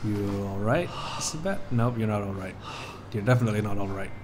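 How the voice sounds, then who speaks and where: A man's voice: fairly low pitch, expressive, fairly quick, noisy background. A man casually commenting over his gameplay with joking, sarcastic asides. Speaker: let's play host. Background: game music and sound.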